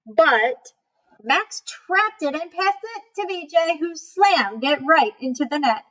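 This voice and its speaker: Slow, high-voiced woman reading to children. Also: expressive, fairly bright tone, rough recording. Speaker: read-aloud storyteller, teacher. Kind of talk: children's picture book read-aloud.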